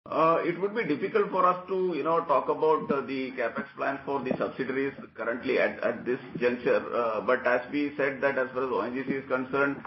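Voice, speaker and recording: A man's voice: medium pitch, brisk, hardly any pauses. A man with an Indian accent, a company executive answering cautiously and hesitantly on an earnings call. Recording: muffled, echoey conference call with noise.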